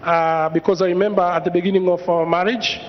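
A man's voice: high-pitched, steady tone, quick pace, a little hiss or hum. Tanzanian guy, kinda hesitant, lots of ums, handheld mic in an echoey church hall. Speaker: church congregant. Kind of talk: personal testimony. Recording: dull echoey hall sound.

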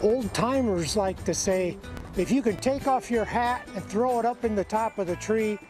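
High-pitched folksy American man teaching. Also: moderate pace, over music. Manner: folksy, plain-spoken. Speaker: extension educator, presenter. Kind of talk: instructional demonstration.

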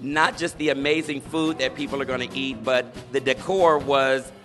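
A man's voice: mid-range voice, fairly even intonation, normal speed, over music. A man hosting an interview at a restaurant opening, upbeat and chatty, laughing often. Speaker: host, interviewer. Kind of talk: casual interview. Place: busy restaurant interior during opening event. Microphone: handheld mic.

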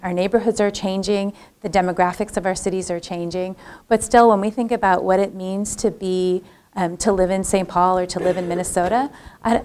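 A woman's voice: medium pitch, a little mellow. A woman speaking on a panel in a lecture room, reflecting thoughtfully and personally on community and belonging. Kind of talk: panel discussion.

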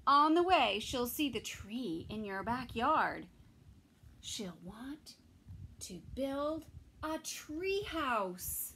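A middle-aged woman reading a picture book aloud to toddlers, expressively, with pauses between phrases. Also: a little high, lively intonation, fairly bright tone, slight background noise. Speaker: children's story time reader. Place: small indoor room with bookshelves.

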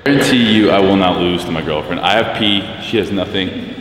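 A man's voice: deep voice, fairly quick, far from the mic. An American man in his thirties chatting casually, with a teasing, competitive manner. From United States. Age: 30s. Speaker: fitness vlogger. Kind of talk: casual chat. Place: large indoor gym basketball court. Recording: echoey gym hall, noisy, bouncing balls.

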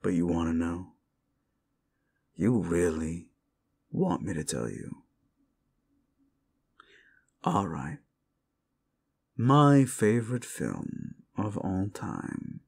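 Male actor as a mocking demon for audio roleplay: low, creaky, sarcastic and gleefully cruel. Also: expressive, drawn-out words, long pauses, darkish tone, heavily acted. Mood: coy, teasing. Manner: sarcastic, taunting, drawling. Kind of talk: intimate audio roleplay addressed to the listener.

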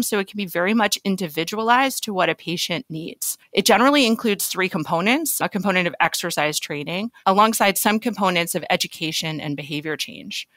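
A woman's voice: fairly low pitch, a bit bright, no echo. A woman speaking as a physician guest on a podcast, explaining things in an articulate way.

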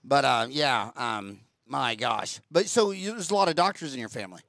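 An older man hosting an interview podcast on a headset mic, talking in an animated, ranting way and repeating himself. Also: mid-range voice, moderate pace, gravelly, no room sound, studio quality. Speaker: podcast host. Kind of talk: casual interview chat. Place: home studio with wood-panel wall.

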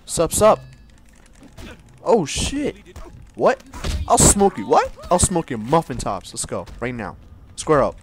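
A man's voice: on the high side, wildly swooping pitch, slow, pauses often, faint music behind. Playful young man joking through a game. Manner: joking, laughing, swings from loud squeals to mumbles. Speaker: gameplay streamer. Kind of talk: casual let's-play commentary.

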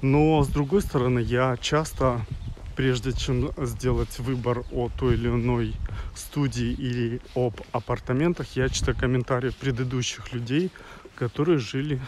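A man around forty talking as a travel vlogger while walking outdoors, pausing mid-sentence and grumbling frankly. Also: medium pitch, fairly even intonation. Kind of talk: walking vlog monologue to camera. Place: outdoors on a resort town street. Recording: windy outdoor street noise, loud.

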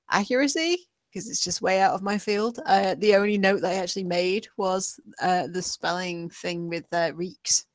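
A woman's voice: on the low side, dry recording. An English woman giving casual, informal feedback on a script. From England. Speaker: table read participant giving script feedback. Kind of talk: informal spoken critique. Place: remote online voice call.

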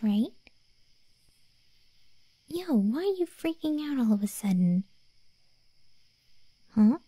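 A woman voicing a cocky, cheeky undead cat girl in a soft, breathy, slangy ASMR roleplay. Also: medium pitch, no echo. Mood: cheeky, cajoling. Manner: soft and breathy, often near whispering, slangy, teasing.

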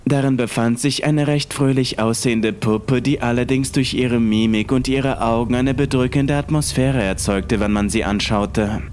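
A man's voice: mid-range voice, fairly even intonation, normal speed, few pauses, a little mellow, a little hiss or hum. A man reading a first-person horror story as scripted narration. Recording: faint music underneath.

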